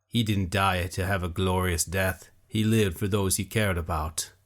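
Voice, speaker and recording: A gruff, coarse warrior growls out blunt words in a low, grumbling voice, as a man reads him aloud. The voice sits deep and the delivery is slow and heavy, like a storybook fighter. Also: steady tone.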